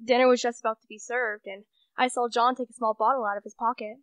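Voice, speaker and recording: Young woman acting a gossipy, higher-voiced society lady. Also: flat delivery, fast, a bit bright, dry recording, close to an everyday voice. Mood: pitying, gossipy. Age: young adult. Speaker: student actor. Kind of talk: radio play acting.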